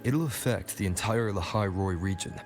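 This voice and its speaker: Guy voicing a serious game hero, low voice, guarded and earnest, music behind. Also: normal speed, lightly acted. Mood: cautious, serious. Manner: earnest, restrained. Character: guarded, serious, soft-spoken male adventurer hero. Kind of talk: scripted video game voice acting.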